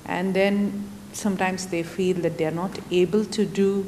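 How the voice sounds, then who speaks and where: A woman from India, speaking as a panelist in a reflective, halting way. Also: fairly low pitch, fairly even intonation, darkish tone, long reverb tail, a little hiss or hum. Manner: slow, reflective, halting with fillers. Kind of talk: panel discussion. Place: event hall.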